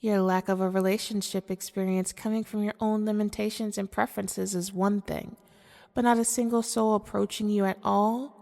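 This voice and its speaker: A woman playing a manipulative, seductive female demon in an ASMR audio roleplay, speaking formally and deliberately in a coaxing way. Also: mid-range voice, flat delivery, creaky, darkish tone, lightly acted. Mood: mock pity. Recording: long cavernous reverb.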